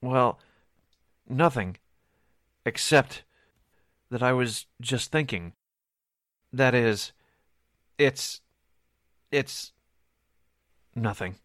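An actor playing a hesitant, nervous witness in a 1940s-style radio drama, halting and hedging as he confides. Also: medium pitch, a bit bright, no echo, studio quality. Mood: hesitant, evasive.

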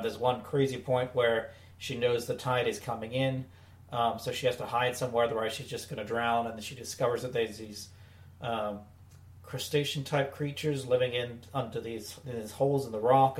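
A man co-hosting a podcast, chatting casually and giving his recommendations. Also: medium pitch. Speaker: podcast co-host. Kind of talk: casual chat reviewing audio dramas. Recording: roomy, echoey indoor sound.

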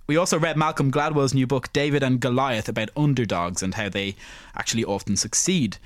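A man co-hosting a culture podcast, chatting casually and reading quick film summaries. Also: medium pitch, dry recording, clean studio sound. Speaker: podcast co-host.

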